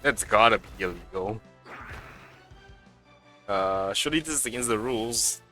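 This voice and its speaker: A man's voice: on the low side, moderate pace, sharp tone. A man giving casual let's play commentary as a game streamer, blunt and sardonic, sometimes reading game text aloud. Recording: game music behind voice.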